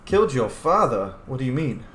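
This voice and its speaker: A man's voice: medium pitch, big pitch swings, a little fast. A man giving casual, informal, sometimes sweary commentary on a video game he is role-playing. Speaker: gaming streamer, role-player. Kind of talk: casual game playthrough commentary with in-character replies. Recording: roomy echo with faint background noise.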